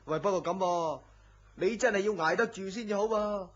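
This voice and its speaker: A man's voice: high-pitched, normal speed. A man with a Hong Kong Cantonese accent playing a blunt, teasing friend who fusses and scolds in a radio drama. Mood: fussing, reassuring. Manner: exasperated, scolding, animated sighing delivery. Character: blunt, teasing, fussing male friend and co-worker. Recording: dull lo-fi radio drama audio.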